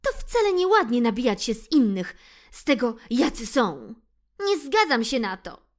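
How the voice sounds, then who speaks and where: A woman's voice: fairly high pitch, big pitch swings, moderate pace, voice pushed up. A woman voicing a small, brave female mouse who scolds and teases bullies in a children's story. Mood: defiant. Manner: defiant, scolding.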